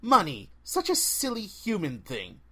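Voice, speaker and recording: A man voicing a haughty, merciless female forest spirit, speaking in slow, disdainful pronouncements in a fantasy storybook. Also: high-pitched, wildly swooping pitch, pushed and bright, slightly rough, full character voice. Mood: cold disdain.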